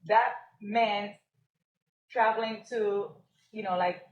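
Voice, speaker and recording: A woman's voice: mid-range voice, fairly even intonation, slow, roomy sound, lo-fi sound. A young woman from Jamaica, a business co-owner and guest on a live podcast, telling her story and laughing often. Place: stage at a live event.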